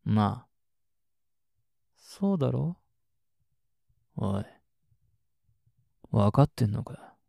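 A man's voice: on the low side, very animated, drawn-out words, vocal fry, pauses often, dark tone, no echo, studio quality, exaggerated voice. A man playing a sadistic, possessive boyfriend, cold, taunting and commanding, in a situation voice drama. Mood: domineering, menacing. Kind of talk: Japanese situation voice acting.

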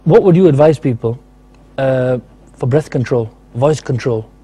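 A man's voice: medium pitch, measured pace, warm tone, husky, dry recording. A young man presenting a live studio interview, eager and informal, calling his guests bro. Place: TV studio set.

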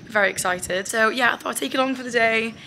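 A young woman with a hoarse voice, chatting upbeat to a handheld camera at a running track, laughing often. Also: on the high side, fast, sharp tone, a little hiss or hum. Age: young adult. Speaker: athlete vlogger. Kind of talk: casual vlog to camera. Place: outdoor running track and hotel rooms. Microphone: handheld camera at arm's length.

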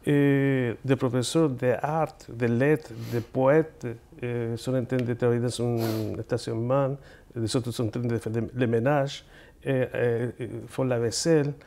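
A middle-aged man from Chile, whose first language is Spanish, speaking French with a Chilean Spanish accent as a guest in a TV studio interview, earnest and halting, often repeating words as he searches for them. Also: medium pitch, steady tone, warm tone.

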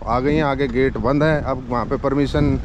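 A man's voice: mid-range voice, steady tone, normal speed, a little hiss or hum. A middle-aged man narrating a casual travel vlog to a handheld camera, chatty and joking, outdoors and in a car. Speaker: vlogger. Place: outdoors in scrubland and inside a car.

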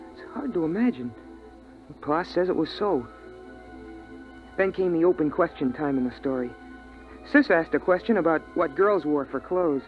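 A man's voice: a little high, natural voice. A man playing an earnest, anxious boy who narrates a 1950s radio drama in a plain, confiding way. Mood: wondering. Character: earnest, anxious boy recalling life on a frozen earth. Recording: dull vintage radio recording with music.

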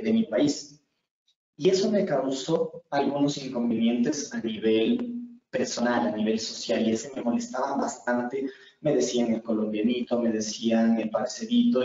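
Earnest young Ecuadorian-accented instructor, mellow tone, recorded from afar on a muffled line. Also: male voice, medium pitch. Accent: Ecuadorian Spanish. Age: 20s. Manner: earnest, repeats words for emphasis. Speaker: communication instructor. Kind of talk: webinar lecture. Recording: echoey room, far from mic, muffled.

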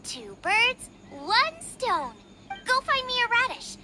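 A woman playing a perky, excitable young girl in an anime-style video game. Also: very high-pitched, takes it slowly, very noisy, full character voice. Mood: thoughtful. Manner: perky, exclamatory. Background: game music.

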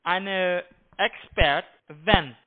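A man with a foreign accent, not a native speaker, pushing a halting, repetitive sales pitch over the phone as a call-centre agent. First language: not German. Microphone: telephone. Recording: tinny narrow phone line.

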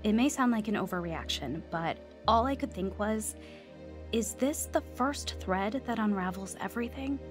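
A woman's voice: mid-range voice, expressive, normal speed, lightly acted. Actress as an earnest young woman over music. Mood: reflective, heartfelt. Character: earnest, anxious young woman in recovery, narrating her story. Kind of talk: radio drama acting and first-person narration.

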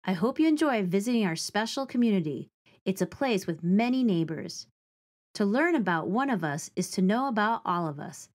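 A woman in her forties reads a children's storybook aloud, speaking clearly and deliberately with an expressive storytelling delivery. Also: mid-range voice. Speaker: teaching artist reading aloud to children.

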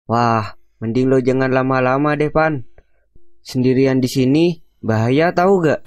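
A man's voice: medium pitch, a little slow, no echo, close to an everyday voice. A man voicing a young college student in a cartoon, telling rumors in casual, slangy Jakarta-accented Indonesian. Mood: casual warning. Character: young male college student spreading campus ghost rumors. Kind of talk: animated cartoon dialogue.

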